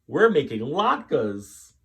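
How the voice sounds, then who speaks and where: A man's voice: mid-range voice, big pitch swings, extremely slow, creaky, muffled recording, voice pushed up, exaggerated voice. A man voicing an eager, apologetic little girl from a children's storybook, in short, earnest exclamations. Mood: nervously cheerful.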